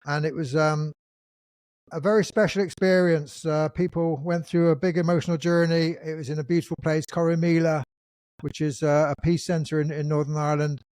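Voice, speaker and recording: A man hosting a podcast interview, introducing his guest and asking thoughtful questions in a relaxed manner. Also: a little high, moderate pace, no room sound. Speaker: podcast host. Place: England.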